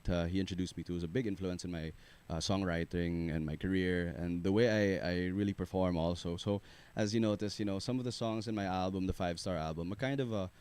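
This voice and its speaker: Low-voiced young man, easygoing guest. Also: clear, resonant tone. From Philippines. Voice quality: low baritone voice. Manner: relaxed, rambling, filler-heavy, laughs easily. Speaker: singer-songwriter guest. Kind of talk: casual radio interview chat. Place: radio studio.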